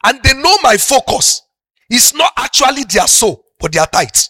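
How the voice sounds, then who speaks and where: A middle-aged Nigerian pastor with a Yoruba-speaking accent preaching a sermon, moving from soft talk up to loud, passionate shouting. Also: male voice, extremely high pitch, sing-song, normal speed, slightly rough, dry recording, studio quality.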